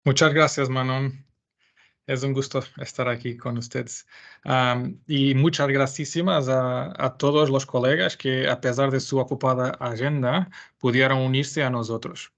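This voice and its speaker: A man with a Portuguese accent giving a webinar presentation in Spanish, his second language. His voice is gravelly and sits at a middle pitch. He speaks carefully and sometimes stops to restart a phrase. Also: flat delivery, fairly bright tone. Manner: careful, slightly halting non-native Spanish. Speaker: webinar presenter, information management adviser.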